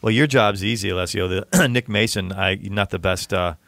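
A man's voice: fairly low pitch, moderate pace, a bit bright. A man hosting a casual band interview, chatting in a rambling way. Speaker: interviewer and host.

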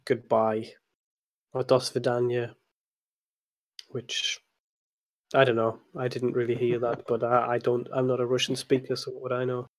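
A man's voice: medium pitch, completely flat delivery, moderate pace. Mild-mannered man in casual chat.

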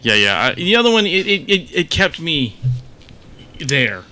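A man's voice: on the high side, very animated, a little slow, sharp tone. A man co-hosting a podcast in laid-back casual chat, often repeating short phrases. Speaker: podcast co-host.

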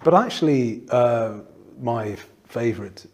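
A man's voice: mid-range voice, slow pace. A man from northeast England who interviews a guest on politics, rambling through restarts and fillers into long questions. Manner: rambling, many restarts and fillers, lively asides. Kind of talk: political discussion.

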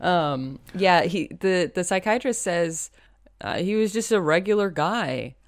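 A woman's voice: on the low side, lively intonation, unhurried, no room sound. A woman co-hosting a podcast, chatting casually about a TV episode and joking as she acts out lines. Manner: laughing, jokey, acts out quotes. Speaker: podcast co-host. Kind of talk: casual chat recapping a TV episode.

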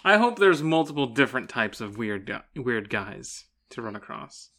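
A man co-hosting a podcast in a relaxed book chat, chipping in with short, wry remarks. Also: medium pitch, moderate pace, vocal fry. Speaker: podcast co-host. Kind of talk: casual book discussion.

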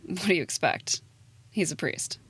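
A woman's voice: on the low side, expressive, normal speed, a bit bright, slight background noise. A woman playing a jaded, blunt schoolteacher with a dry, sardonic manner, in a naturalistic audio drama. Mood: sardonic warning. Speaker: actor in an audio play.

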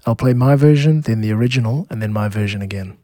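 A man with a South African accent, hosting a music podcast in a measured, explanatory narration. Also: lowish voice, dark tone, no echo, clean studio sound. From Cape Town, South Africa. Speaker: podcast host, music producer and composer.

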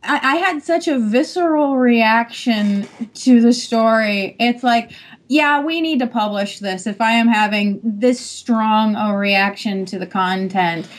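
A woman editor-in-chief on a podcast panel, speaking emphatically with halting restarts. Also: on the high side, rough recording. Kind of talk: casual podcast panel talk.

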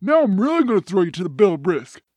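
A man's voice: sits high, sing-song, fast, a little mellow, no room sound. A man playing a bossy, greedy ogre in an audio drama, scolding in broken grammar. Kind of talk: audio drama character acting.

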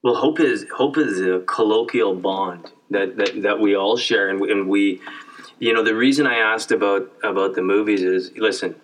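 A man's voice: lowish voice, moderate pace, distant mic, a little hiss or hum, poor audio. A middle-aged man from Canada hosting a casual sit-down interview, asking earnest, searching questions and often repeating words as he builds them. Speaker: host.

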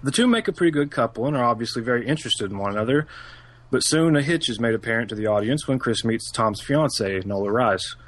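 A man with a self-described monotone voice, co-hosting a casual podcast and reading out a film synopsis. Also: medium pitch, fast talker.

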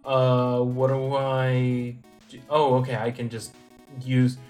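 A young man with an average-pitched voice co-hosts a casual podcast about games. He talks in a relaxed, thoughtful way with dry asides while faint music runs underneath. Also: takes it slowly. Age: young adult. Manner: relaxed, thoughtful, wry humor. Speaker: podcast co-host. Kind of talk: casual game discussion.

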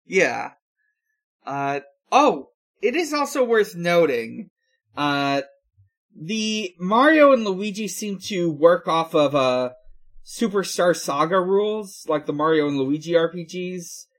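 Slow-talking man recapping casually, slightly high voice. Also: big pitch swings, a bit bright. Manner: halting, filler-heavy, unhurried. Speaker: podcast host. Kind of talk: casual episode recap with frequent pauses.

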